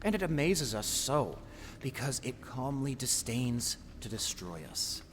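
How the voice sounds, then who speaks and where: An actor playing a menacing, taunting, grandiose tormentor in an audio drama, swinging between whispers and shouts. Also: male voice, medium pitch, very animated, measured pace. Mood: menacing, mocking. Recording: long hall reverb, faint noise.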